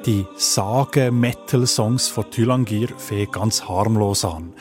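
A man's voice: fairly low pitch, a little slow, loud music behind the voice. A man with a Swiss German accent narrating a scripted radio report as its reporter.

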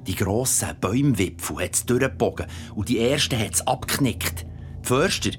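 An actor playing an earthy father who tells a bedtime story in Swiss German, dramatic and drawn-out. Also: mid-range voice, a little hiss or hum, natural voice. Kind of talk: dramatic storytelling in a radio play.

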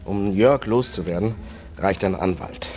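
A man's voice: on the low side, a little slow, a little mellow, close to an everyday voice. A man playing a cautious lawyer and reluctant lover in a German radio crime drama, sober and reasoning. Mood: cautious, uneasy.

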